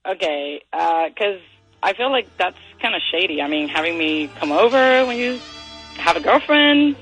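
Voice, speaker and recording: A woman's voice: fairly low pitch, lively intonation, normal speed, fairly bright tone, faint music behind, low-quality recording. A woman on a radio call, talking casually as she explains herself. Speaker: radio show caller. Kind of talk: casual phone conversation.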